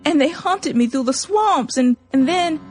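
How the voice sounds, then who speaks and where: A woman's voice: high voice, lively intonation, pitched above the performer's own voice. A woman reading as a trembling, stammering young girl. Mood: pleading. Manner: halting, stammering, pleading. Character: trembling young Southern girl pleading with her love.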